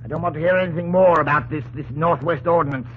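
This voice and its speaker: An actor playing a haughty, blustering general and governor in an old-time radio drama, pompous and dismissive. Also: male voice, a little high, fast talker, phone-quality sound, natural voice. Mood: scornful, overbearing. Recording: thin, noisy old radio recording.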